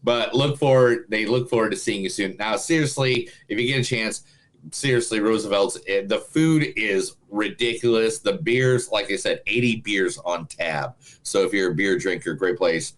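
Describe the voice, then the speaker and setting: A man with a fairly low voice co-hosts a movie podcast. He talks in a loose, laid-back way, drifting into rambling asides even while reading a sponsor spot. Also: moderate pace. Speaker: podcast co-host. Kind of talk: casual chat and read sponsor ad.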